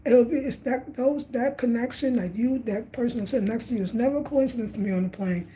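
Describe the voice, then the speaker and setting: A woman's voice: on the high side, fairly quick, dark tone. A woman in her fifties from New York, talking casually and reflectively about travel and spirit, repeating phrases for emphasis. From Brooklyn, New York. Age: 50s. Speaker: travel vlogger.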